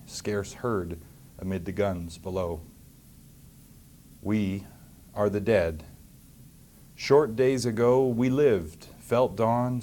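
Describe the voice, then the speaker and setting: A man with a Canadian accent speaking as a mayor to schoolchildren, in a measured, simply worded way. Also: fairly low pitch, darkish tone, a little hiss or hum. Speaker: mayor hosting and reading to children. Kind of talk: public talk and storybook reading to schoolchildren.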